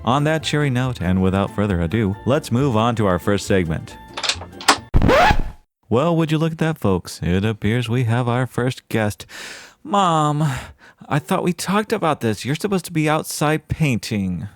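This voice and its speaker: A man's voice: medium pitch, lively intonation, unhurried, soft background music, natural voice. A man playing a verbose, genteel small-town podcast host and dutiful son in an audio drama, speaking in folksy, flowery phrases. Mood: cheerful, welcoming, then exasperated, placating. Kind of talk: audio drama, mock radio-show narration.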